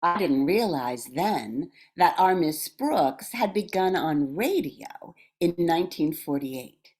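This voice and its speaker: A woman's voice: on the low side, expressive, slow pace, a little mellow, breathy edge. An older woman reading a scripted personal reminiscence as a member of a radio show cast.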